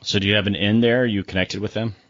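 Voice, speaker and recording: A man's voice: on the low side, steady tone, quick pace, a bit bright, muffled recording. A man hosting a podcast interview, upbeat and chatty, often saying "all right" between questions. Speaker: podcast host. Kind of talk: casual interview chat.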